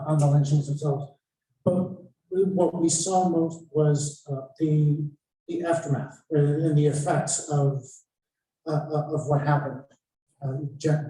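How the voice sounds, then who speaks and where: A man moderates a panel in a very echoey room, heard from a distance. His voice is very dark and muted, a little high for a man. He phrases his questions haltingly and thoughtfully. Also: a little hiss or hum.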